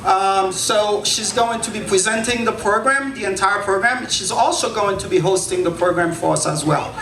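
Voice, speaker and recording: A man briefly introducing the host at a community event, speaking quickly and casually with some fillers. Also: high voice, crowd noise. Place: event hall. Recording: echoey hall with background noise.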